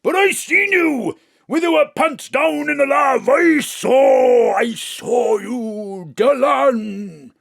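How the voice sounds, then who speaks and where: An actor playing a wild, accusing young boy in an audio drama, shrill and screaming. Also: drawn-out words, creaky, raised voice, heavily acted. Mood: hysterical.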